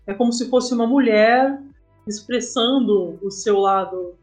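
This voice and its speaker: A woman's voice: a little high, measured pace, darkish tone. Brazilian woman chatting over music. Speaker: guest, comic artist and game writer. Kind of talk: casual podcast interview chat.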